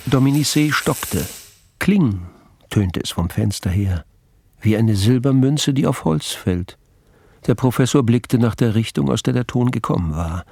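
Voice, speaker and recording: An actor as the composed storyteller of a mystery radio play, narrating in a measured, literary manner. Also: male voice, medium pitch, expressive, gravelly, a little mellow. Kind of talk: scripted narration.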